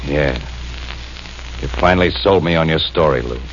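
An actor in 1940s radio acting, playing a tough, dry crime photographer hero. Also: male voice, rumbling low, moderate pace, slight background noise. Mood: hard-boiled. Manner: hard-boiled, dry. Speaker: lead detective character. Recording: dull old radio broadcast under loud music.

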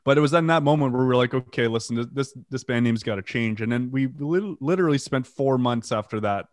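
A man's voice: mid-range voice, fast, no echo, studio quality. A French Canadian man, a metal band's vocalist, telling his band's story in a casual interview, rambling with fillers and casual swearing. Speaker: band vocalist and guitarist.

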